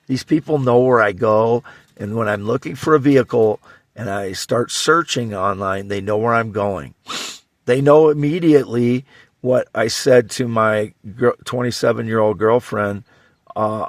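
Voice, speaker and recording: A man in his fifties with a low, scratchy voice and a Midwestern American accent, recorded dry and close. He talks in a rambling monologue, halting and circling back to repeat himself insistently. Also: no echo. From Iowa, United States. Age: 50s.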